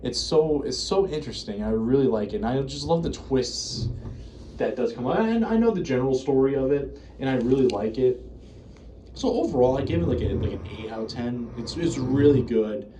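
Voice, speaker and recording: A man's voice: medium pitch, expressive, moderate pace, mellow, a little hiss or hum, low-quality recording. An American man giving casual, unscripted commentary in a rambling, hesitant, self-correcting way. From United States. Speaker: video creator reviewing horror series.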